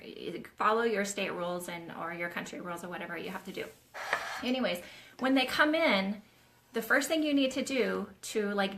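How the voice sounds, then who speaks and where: A woman's voice: medium pitch, bright, forceful tone. A woman hosting a casual live Q&A, teaching nail technicians in a chatty, hands-on way. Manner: chatty, demonstrates while talking, addresses viewers as "you guys".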